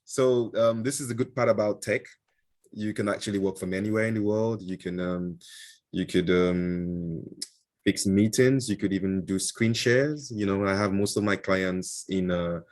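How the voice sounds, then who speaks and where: A man with a fairly low voice talks loosely as an interview guest, stopping often for "um" and "uh" and restarting his sentences. His is Igbo, and he is heard through a video call. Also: normal speed, darkish tone. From Nigeria. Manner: hesitant, many fillers and restarts, chuckles often. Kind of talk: casual interview answers.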